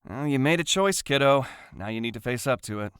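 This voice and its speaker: A man's voice: medium pitch, animated, a little fast, fairly bright tone, smooth, no echo, studio quality. A man playing a strict but loving father of a teenager in an audio drama, earnest and lecturing. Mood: stern.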